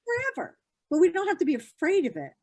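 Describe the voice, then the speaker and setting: A woman's voice: very high-pitched, wildly swooping pitch, quick pace. A woman in her fifties, a grief therapist, answering interview questions in an earnest, explanatory way with many fillers and restarts. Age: 50s.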